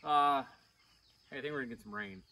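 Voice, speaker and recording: A man in his forties hosting a casual farm vlog outdoors, with a relaxed drawl and plenty of fillers. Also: mid-range voice, fairly quick. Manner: relaxed, folksy, with frequent fillers. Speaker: homestead farmer and channel host. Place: outdoors on a rural farm yard. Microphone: camera mic, at times from a distance. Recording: outdoor camera audio, slightly distant, faint hiss.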